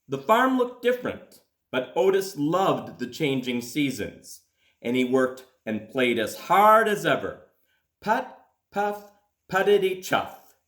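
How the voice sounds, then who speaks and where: A man reading a children's storybook aloud in a deliberate, expressive way with dramatic pauses. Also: a little high. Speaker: school principal reading aloud. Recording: echoey room.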